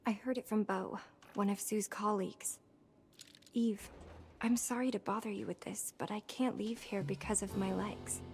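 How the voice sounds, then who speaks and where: An actress playing a gentle, anxious young woman in a video game, speaking softly and apologetically. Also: mid-range voice, steady tone, normal speed, a little hiss or hum, lightly acted. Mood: anxious, pleading. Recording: music playing softly underneath. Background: faint music.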